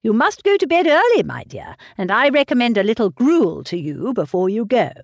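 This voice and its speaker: Fussy old gent worrying about everything, voice goes high and jumpy, lady reading him. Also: very animated, moderate pace, dry recording. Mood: fretful. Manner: fretful, coddling. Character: fussy anxious elderly gentleman, fond father. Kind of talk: audiobook character reading.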